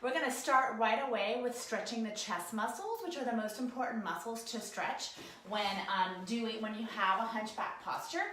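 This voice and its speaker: A woman with a cold-roughened voice, casually talking viewers through stretches at a work desk while she demonstrates them. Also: on the high side, normal speed, a bit bright, heavy reverb, slight background noise, poor audio. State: hoarse from a cold. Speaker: posture and ergonomics instructor. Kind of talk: guided stretching lesson, talking to camera. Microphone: camera mic, sometimes turned away.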